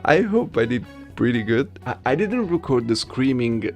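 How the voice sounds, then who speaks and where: A man's voice: mid-range voice, big pitch swings, normal speed, a little mellow, soft background music. A man chatting casually to viewers as an amateur voice actor, self-deprecating, repeating himself and checking in with little tag questions. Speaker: amateur voice actor, video host.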